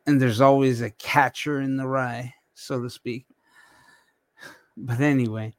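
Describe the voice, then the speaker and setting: A man hosting a casual adult podcast chat, talking slowly and haltingly, often repeating words. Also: mid-range voice, fairly even intonation, dry recording. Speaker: host.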